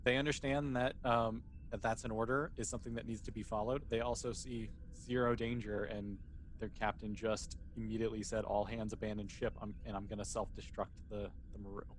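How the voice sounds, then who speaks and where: A man running a tabletop game as game master, narrating casually and haltingly as he thinks aloud. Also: on the low side, flat delivery, a little hiss or hum.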